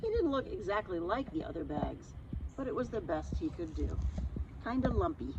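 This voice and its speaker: A woman reading a children's story aloud in an expressive, chatty manner, slipping in casual asides and simple explanations. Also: lowish voice, normal speed, lo-fi sound, noisy background.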